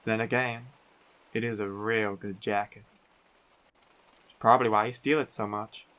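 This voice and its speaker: A man playing a big, teasing, affectionate biker werewolf boyfriend in an intimate roleplay audio, talking in an unhurried, colloquial way. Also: fairly low pitch, steady tone, a bit bright, natural voice. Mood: playful teasing.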